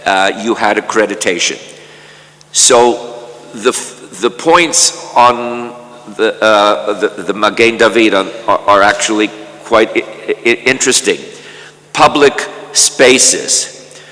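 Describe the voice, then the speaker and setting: An older man lectures at a conference in a slow, deliberate way, circling back with repeated words before finishing each point. His voice has a bright, forceful edge. The sound carries a long reverb from the conference hall. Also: fairly low pitch. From Canada. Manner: slow and measured, often repeating words as he restarts. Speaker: conference speaker. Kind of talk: academic lecture.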